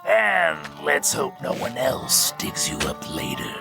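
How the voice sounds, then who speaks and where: A man's voice: mid-range voice, wildly swooping pitch, slow, vocal fry, full character voice. A man playing a ghoulish gravedigger who narrates scripted horror tales in a theatrical, macabre, mock-sentimental way. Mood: mock-tender menace. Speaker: horror story host and narrator. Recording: music behind the voice.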